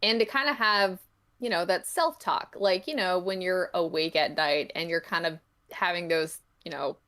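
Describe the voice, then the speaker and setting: A woman's voice: lowish voice, normal speed, a bit bright. A woman chatting casually as a podcast guest, explaining her thoughts in a rambling, reflective, tentative way. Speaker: podcast guest, comic creator. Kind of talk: casual interview chat.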